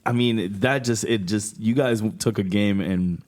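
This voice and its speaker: A man hosting a podcast in casual interview chat, talking in slangy, excitable fan style and laughing often. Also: on the low side, a little slow. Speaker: podcast host.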